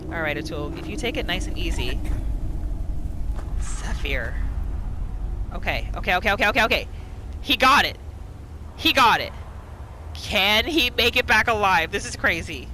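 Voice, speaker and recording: A woman's voice: mid-range voice, animated, moderate pace, pauses often, sharp tone, slight background noise. A woman narrating a roleplay game playthrough, reacting with exclamations and talking to the game's characters. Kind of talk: reactive let's-play commentary.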